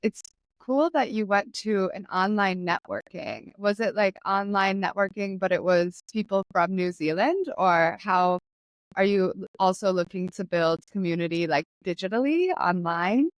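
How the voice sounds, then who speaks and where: A woman's voice: mid-range voice, moderate pace, no room sound. Young woman host, casual and chatty with easy laughs, dry close-mic sound. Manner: relaxed and chatty, laughs often. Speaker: podcast host. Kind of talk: casual conversational chat.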